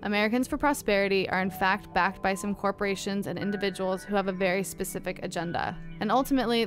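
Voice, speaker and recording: A woman giving earnest, scripted narration for an advocacy spot. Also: mid-range voice.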